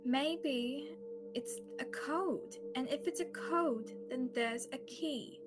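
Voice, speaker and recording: A woman's voice: sits high, takes it slowly, music in the background. A young woman playing a determined, emotional amateur sleuth in radio theatre style. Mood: insistent. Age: young adult.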